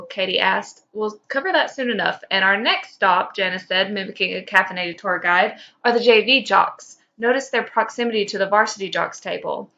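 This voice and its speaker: A woman co-hosting a podcast, informal and expressive, moving between casual chat and reading fan fiction aloud. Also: mid-range voice, vocal fry, dull audio. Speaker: podcast co-host.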